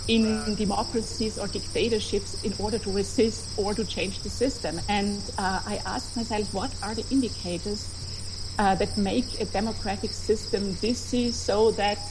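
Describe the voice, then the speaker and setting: A woman's voice: medium pitch, steady tone, moderate pace, background noise. A woman from Austria with an Austrian accent, a native German speaker, explaining her research as a historian in a reflective, searching way.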